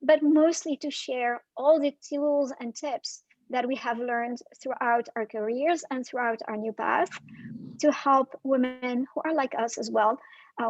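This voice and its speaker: High-voiced reflective woman coach. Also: darkish tone, dry recording, dull audio. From Syria. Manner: reflective, thoughtful, with frequent pauses and fillers. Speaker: life and career coach. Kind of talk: guest in casual video interview.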